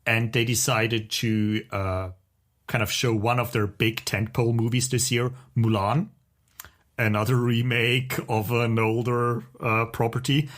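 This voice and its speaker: A man's voice: mid-range voice, little pitch movement, measured pace, fairly bright tone. A man co-hosting a podcast in casual conversation, with an opinionated, wry way of talking. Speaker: podcast co-host.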